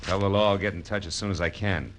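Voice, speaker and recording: An actor playing a tough, wry private detective, clipped and sardonic, in 1940s radio noir style. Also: male voice, deep voice, steady tone, fast talker, fairly bright tone, a little hiss or hum. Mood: brisk, wry. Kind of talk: 1940s radio noir acting with first-person narration. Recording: old radio broadcast with music.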